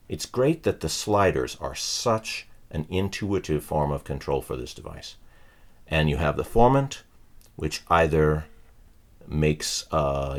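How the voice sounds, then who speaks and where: A man presenting a casual, unscripted product walkthrough for a tech channel, thinking aloud in a halting way. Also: extremely low pitch.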